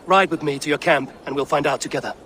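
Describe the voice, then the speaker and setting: An actor playing a stern, wry Viking warrior in a video game, blunt and commanding. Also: male voice, a little high, fast talker, bright, forceful tone, a little hiss or hum. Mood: calm, wry. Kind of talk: video game character acting.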